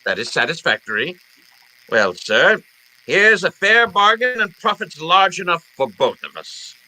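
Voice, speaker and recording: Male actor as greedy gentleman villain, radio noir, florid speech, very bright forceful tone, widely swooping pitch. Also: big pitch swings, exaggerated voice, rough recording. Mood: grandly scheming. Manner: pompous, florid, courteous, deliberate. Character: genteel, wily male crime boss, pompous and greedy. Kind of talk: old time radio detective drama acting.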